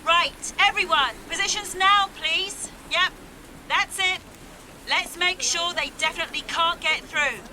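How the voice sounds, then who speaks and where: An actress playing a brisk protest marshal calling out instructions to a group in an audio drama. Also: female voice, very high-pitched, bright, forceful tone, slight background noise, exaggerated voice, low-quality recording. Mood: brisk, organising.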